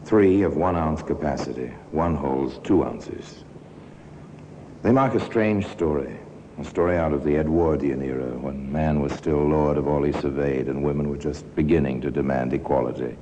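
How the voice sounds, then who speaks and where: A man playing a grave, macabre museum guide and narrator in a 1950s radio crime drama, speaking slowly and theatrically with dramatic pauses. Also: a bass voice, dark tone, highs cut off. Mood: wry. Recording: hissy old 1950s radio recording.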